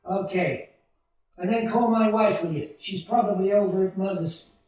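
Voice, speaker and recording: A man playing an earnest, excitable young small-town family man in a 1940s-style radio play, with a stammering, folksy delivery. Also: high-pitched, normal speed, close to an everyday voice. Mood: flustered, sheepish. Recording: distant, very echoey stage hall.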